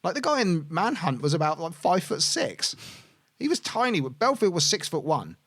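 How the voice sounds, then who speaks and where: A man's voice: sits high, very animated, moderate pace, a bit bright, dry recording, studio quality. A man co-hosting a podcast in casual chat, rambling and correcting himself with lots of fillers. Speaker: podcast co-host.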